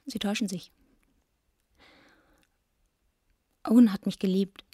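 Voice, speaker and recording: An actress playing a tormented, despairing young woman in a radio drama, speaking in a halting, brooding way. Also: mid-range voice, fairly even intonation, warm tone, breathy edge, no echo, clean studio sound, lightly acted. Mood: fragile, insistent. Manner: halting, brooding, self-loathing.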